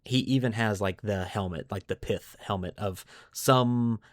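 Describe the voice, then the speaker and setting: A man's voice: fairly low pitch, a little slow, dry recording, clean studio sound. A man hosting a podcast, explaining lore to friends in an informal, enthusiastic way. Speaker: podcast host.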